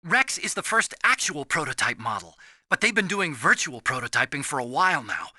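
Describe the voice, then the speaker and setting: An earnest, nervous tech expert from a video game. His voice is bright and excitable, recorded dry and close. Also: a little high, brisk, no room sound, heavily acted. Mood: earnest explaining.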